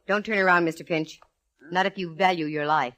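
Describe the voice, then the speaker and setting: An American actress playing a sharp, plucky female private eye in a 1940s radio detective drama. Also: on the low side, fairly even intonation, a little fast, close to an everyday voice. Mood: firm, threatening. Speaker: lead actress and narrator. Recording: vintage radio broadcast with music.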